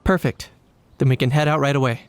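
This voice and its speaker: A man voicing a composed, polite knight investigator in a game, measured and analytical with a dry streak. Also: medium pitch, steady tone, smooth, close to an everyday voice. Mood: serious, analytical. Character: composed, courteous male knight investigator, dryly cheeky. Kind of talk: English game dub acting.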